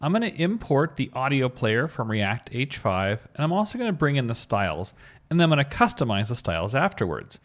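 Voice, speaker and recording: A man's voice: medium pitch, sing-song, a little fast. A man giving an upbeat, informal screencast coding tutorial, explaining things step by step. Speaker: web developer giving a tutorial.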